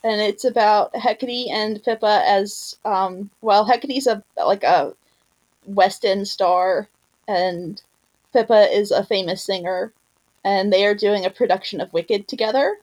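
A woman podcast host in relaxed conversation, with a mid-pitched voice. She talks informally and has a giggly way about her, as in a casual chat among fans. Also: a little slow, poor audio.

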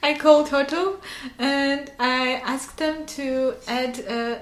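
A woman's voice: sits high, steady tone, slow pace. A young woman from Armenia, a podcast guest chatting casually and laughing often between fillers.

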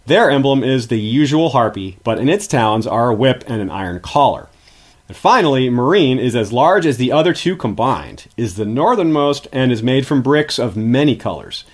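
A man's voice: mid-range voice, expressive, normal speed. A man speaking as a guest history expert, giving scripted, articulate explanations in an informative lecturing manner.

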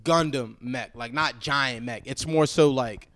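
A man's voice: mid-range voice, a little slow, fairly bright tone, no room sound. A young man co-hosting a casual podcast, teasing and swearing freely. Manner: swears a lot and laughs often, teasing. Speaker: podcast co-host. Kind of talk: casual chat.

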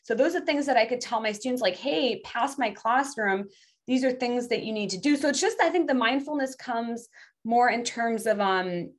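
A woman whose first language is French, speaking English as a podcast guest and talking in an earnest, rambling way with many restarts. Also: fairly high pitch. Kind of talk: casual interview chat.

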